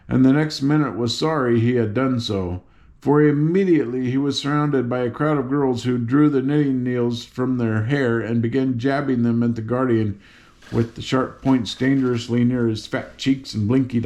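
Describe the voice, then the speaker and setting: A man's voice: mid-range voice, a little mellow. An older man narrating a children's story as a read-aloud, with informal little asides.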